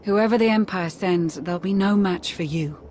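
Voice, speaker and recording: A woman's voice: on the low side, normal speed, darkish tone, slight background noise, close to an everyday voice. An actress playing a composed, shrewd female military adviser in a game cutscene, speaking with assured, formal precision. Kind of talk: scripted game cutscene dialogue.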